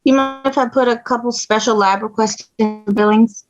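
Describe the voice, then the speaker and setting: A woman's voice: a little high, steady tone, a little fast, soft background music, lightly acted. A woman playing an assertive, indignant fourteen-year-old girl doctor at a table read, matter-of-fact and precise. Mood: blunt. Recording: dull, lo-fi audio.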